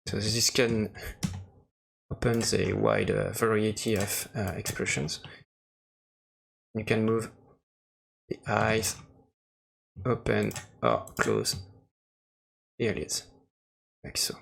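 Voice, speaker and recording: A man who speaks English as a second language, presenting an informal software demo in a halting, hesitant way with frequent pauses. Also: on the low side, gravelly. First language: non-native English speaker.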